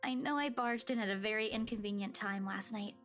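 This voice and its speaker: A woman voicing a timid, apologetic young woman who has just woken, in a visual novel, speaking softly and drowsily. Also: mid-range voice, brisk, soft background music, close to an everyday voice.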